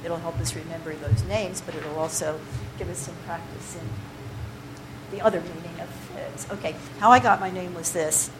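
A woman's voice: on the low side, fairly even intonation, normal speed. Chatty woman leading a poetry workshop, noisy recording. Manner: chatty, digressive, with many fillers and restarts. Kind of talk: informal workshop talk to a group.

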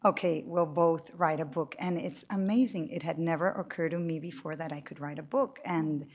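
Woman novelist, lively, chatty, low-ish voice, muffled event audio. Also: moderate pace. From Colombia. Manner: animated, talkative, frequent restarts. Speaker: novelist on a panel. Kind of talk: panel talk to an audience. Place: room with a live audience.

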